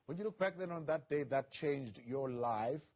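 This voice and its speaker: A man's voice: mid-range voice, lively intonation, normal speed. A man anchoring an evening news interview, reading a scripted introduction with "uh" fillers, then questioning his guest. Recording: lo-fi broadcast audio.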